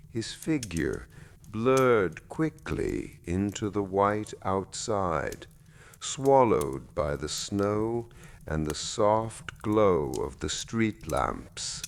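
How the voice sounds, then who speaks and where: A man reading a bedtime story in a gentle, measured, soothing way with deliberate pauses. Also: low voice, gravelly, slight background noise. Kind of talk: slow soothing scripted narration.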